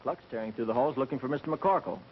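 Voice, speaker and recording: A man's voice: medium pitch, fast, natural voice. An actor playing a fresh, eager young errand boy in a comic 1930s film. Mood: eager, cheeky. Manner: cheeky, eager. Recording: muffled, hissy 1930s film soundtrack.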